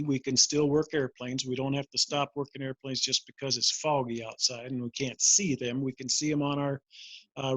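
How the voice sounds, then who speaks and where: An older American man giving an informal career talk, explaining and recounting in a measured, reflective way. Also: medium pitch, no room sound, highs cut off. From Montana, United States. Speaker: air traffic controller, career fair guest speaker.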